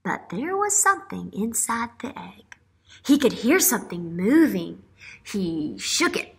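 A woman in her thirties, a teacher reading a picture book aloud to young children and asking them questions with drawn-out emphasis. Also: medium pitch, sing-song, vocal fry. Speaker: elementary school teacher. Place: small classroom-like room, indoors. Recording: roomy indoor echo.

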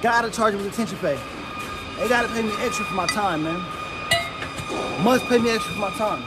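An American man in his thirties telling a story in a casual vlog, animated and slangy, repeating phrases and tag questions. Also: high voice, brisk, over loud music. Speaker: flatbed trucker and vlogger. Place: truck cab with open door, steel yards. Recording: loud vehicle and outdoor work-site noise.

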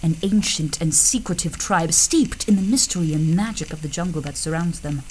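An actress playing a fierce, proud adventuress and jungle guide in a 1930s-style radio serial. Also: female voice, low voice, normal speed, a little mellow, slight background noise, natural voice, rough recording. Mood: ominous.

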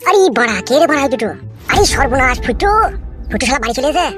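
A nervous village tattletale from a comedy cartoon , acted by a man, confiding and grumbling in a rural West Bengal accent. He talks very quickly, with music playing behind him. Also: very high voice, animated, a bit bright. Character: nervous gossiping village man, wary political hanger-on.

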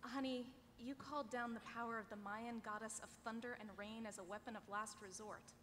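A woman playing a loving, wistful woman of the underworld, tender and measured, at a live table read. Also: on the high side, fairly even intonation, a bit bright, close to an everyday voice. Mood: tender, regretful. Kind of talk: live table read of a sci-fi comedy script. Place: large convention hall panel stage. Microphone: table microphone on stand. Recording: echoey, noisy convention hall.

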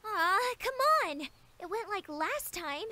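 A woman's voice: extremely high pitch, animated, slow, bright, forceful tone, a little hiss or hum, heavily acted. A woman voicing an earnest, anxious young female unicorn pony in a fan animation, talking in an urgent, pleading way.

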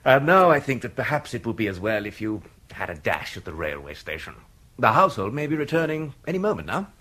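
An actor playing an urbane, unflappable English gentleman in a radio drama, verbose and politely mocking. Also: medium pitch, big pitch swings, a little fast. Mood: soothing. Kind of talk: 1980s radio drama acting.

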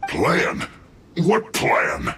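An actor playing a gruff, burly soldier henchman in a video game, blustering and protesting in exasperation. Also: mid-range voice, huge pitch swings, very slow, sharp tone, a little hiss or hum, heavily acted. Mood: defensive. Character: gruff, aggrieved burly male soldier henchman, indignant underdog. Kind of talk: video game character acting. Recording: echoey, music underneath.

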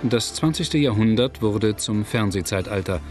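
A man narrating a scripted documentary in a measured, factual manner. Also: fairly low pitch, lively intonation, faint music behind. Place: studio voice-over, music behind.

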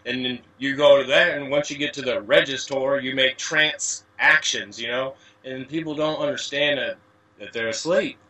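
Bright-voiced man, distant from the mic. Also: medium pitch, steady tone, moderate pace. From USA. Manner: rambling, many "you know" fillers, wordplay explanations. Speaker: guest. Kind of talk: casual conversational interview.

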